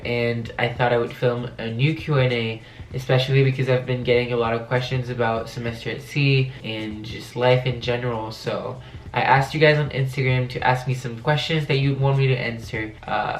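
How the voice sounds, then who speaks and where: A man's voice: medium pitch, roomy sound, slight background noise, poor audio. A young man with a French accent chatting casually to camera as a video blogger, his voice affected by allergies. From France. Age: young adult.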